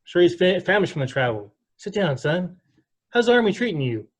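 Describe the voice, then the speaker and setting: A man's voice: high-pitched, big pitch swings, a little fast. A man playing a stern, hot-tempered country father in an amateur play read over a video call. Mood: gruff, dismissive.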